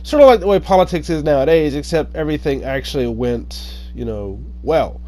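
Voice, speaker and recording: A man telling folk tales informally in a halting, hesitant way, often pausing and correcting himself. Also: on the high side, expressive, dark tone, a little hiss or hum. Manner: halting, hesitant delivery with frequent pauses and self-corrections. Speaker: online storyteller.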